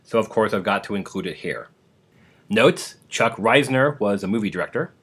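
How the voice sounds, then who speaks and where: A man's voice: lowish voice, animated, moderate pace, fairly bright tone, husky. A man hosting a podcast, introducing old radio programs in an informal, prepared style. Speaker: podcast host.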